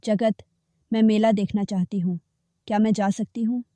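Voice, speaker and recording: A woman's voice: medium pitch, steady tone, moderate pace, warm tone, dry recording, natural voice. A woman voicing an oppressed young wife in a cartoon story, pleading and sorrowful. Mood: pleading sad. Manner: pleading, sad.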